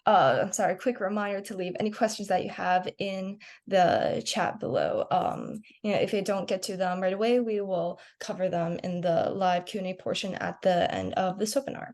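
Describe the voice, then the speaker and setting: A woman's voice: mid-range voice, normal speed, hardly any pauses, darkish tone. A young woman hosting a student-run science webinar on a video call, introducing the organization and reading out questions. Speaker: webinar host.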